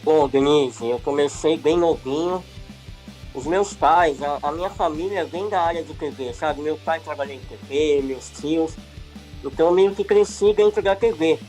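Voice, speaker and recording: A middle-aged man, a TV producer and director, talking in a relaxed, rambling way as a guest in a casual podcast interview. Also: on the high side, moderate pace, soft background music.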